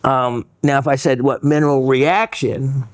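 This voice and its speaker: Male host, mid pitch, chatty, rambling, dry close sound. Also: fairly even intonation, unhurried, no room sound. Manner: rambling, many fillers and restarts. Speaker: host reading viewer mail. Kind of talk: casual educational chat.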